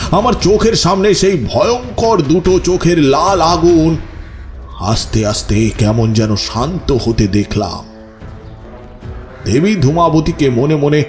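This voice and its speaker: A man's voice: a little high, darkish tone. A man acting as the haunted narrator of a ghost story, telling his own ordeal in dramatic, suspenseful first person. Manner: slow, suspenseful, with pleading stammering outbursts in dialogue.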